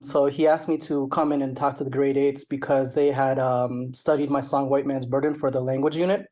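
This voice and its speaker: A man's voice: mid-range voice, level tone, a little fast, warm tone. Canadian guy, middle aged, rapper being interviewed, chatty and rambling, says like and you know a lot. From Toronto, Canada. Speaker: interview guest, rapper and songwriter. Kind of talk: casual interview talk.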